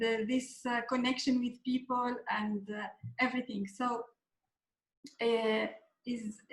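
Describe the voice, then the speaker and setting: A woman from Tunisia, a guest coach and former dentist, speaking haltingly with many fillers and restarts in a casual interview. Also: a little high, fairly bright tone. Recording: echoey room.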